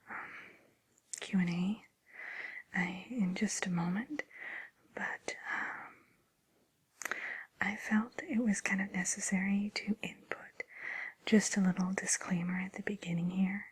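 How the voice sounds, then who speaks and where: A woman hosting an ASMR show, whispering a casual introduction in a soft, hesitant manner. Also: medium pitch, fairly even intonation, slow, pauses often, breathy edge, poor audio.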